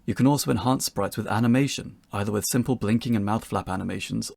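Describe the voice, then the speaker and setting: Fast-talking man with a low, gravelly voice. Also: darkish tone, no room sound, studio quality. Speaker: presenter of a tutorial talk. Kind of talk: scripted narration for an instructional video.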